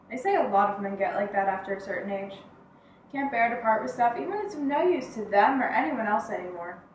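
An actress playing a plain-spoken woman reminiscing, in a very echoey room some way from the mic. She has a slightly high voice and tells her story in a wry, conversational, reflective manner, as a stage monologue. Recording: very echoey room, distant mic, faint noise.

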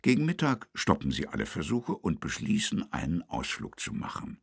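A man's voice: low-pitched, huge pitch swings, normal speed, creaky, slightly rough, dry recording, studio quality, exaggerated voice. A man narrating a children's audio drama as a storyteller, reading from a script. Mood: storytelling.

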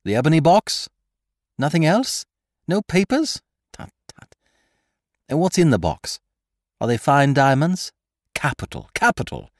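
A man voicing a mocking gentleman thief. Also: a little high, very animated, dry recording, voice pushed up. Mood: scheming. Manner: brisk, mocking, triumphant taunting. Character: cocky gentleman thief, adult man, gleeful schemer. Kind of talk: audiobook character voice.

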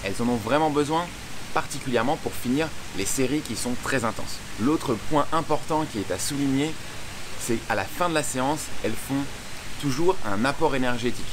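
A young man, a swim coach, explaining to the viewer outdoors by a pool, talking informally and clearly. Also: mid-range voice, noisy background. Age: young adult. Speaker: swim coach and channel host. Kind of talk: direct-to-camera tutorial. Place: outdoors by a pool under a covered walkway.

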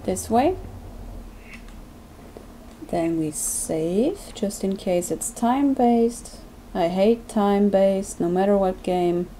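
Mellow-voiced woman narrating a game. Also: medium pitch, takes it slowly, pauses often, slight background noise, poor audio. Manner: chatty, self-deprecating, thinking aloud. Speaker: let's play gamer. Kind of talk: casual gameplay commentary.

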